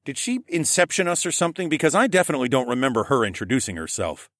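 A man's voice: mid-range voice, big pitch swings, fast, a bit bright, no echo, clean studio sound, pitched above the performer's own voice. A man voicing a sardonic, protective male hero in a fantasy audiobook.

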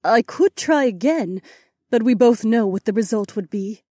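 Gentle worried wife for fantasy audiobook, voiced by a woman; high, slightly husky, earnest. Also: animated, normal speed, no echo, studio quality. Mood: worried, resigned. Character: gentle, anxious young wife in a fantasy tale.

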